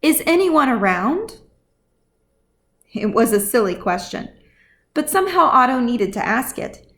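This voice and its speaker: A woman's voice: fairly high pitch, sing-song, moderate pace, bright, forceful tone. A woman reading scripted fantasy fiction as audiobook narration, as the author of the story.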